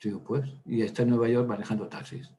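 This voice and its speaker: A man's voice: mid-range voice, fairly even intonation, quick pace, warm tone. A man, a priest, teaching a Bible workshop in a chatty, digressive way, with many hesitant fillers and side stories. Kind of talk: informal Bible lecture.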